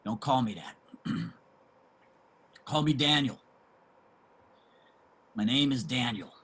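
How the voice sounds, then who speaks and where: An actor playing a weary homeless man in a dramatic reading, halting and heavy with feeling, with a husky, gravelly voice. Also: mid-range voice, fairly bright tone, exaggerated voice. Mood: insistent, defiant. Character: weary homeless man insisting on his own name.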